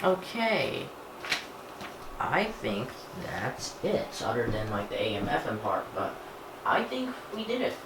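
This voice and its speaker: Male hobbyist vlogger, unscripted and halting, half talking to himself, roomy sound with noticeable background noise. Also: mid-range voice, wildly swooping pitch. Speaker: hobbyist vlogger unboxing gadgets. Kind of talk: casual unscripted chat while handling a device. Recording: echoey room, clear background noise.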